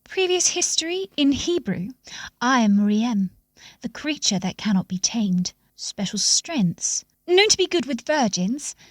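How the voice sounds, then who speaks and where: A woman voicing an untameable, mysterious unicorn in a storybook, speaking in an aloof, deliberate way. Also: a little high, very animated, dry recording.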